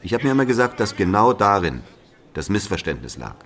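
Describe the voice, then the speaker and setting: A man's voice: lowish voice, sing-song, fairly quick, a bit bright. A man playing a bitter brother of a murdered man, telling his story in an emphatic, conversational dramatic monologue. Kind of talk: confiding dramatic monologue to a listener.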